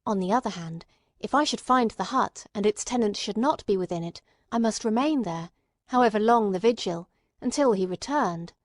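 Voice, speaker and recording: A woman reading classic detective fiction as an audiobook narrator, in a measured, formal way. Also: medium pitch, expressive, dry recording, clean studio sound.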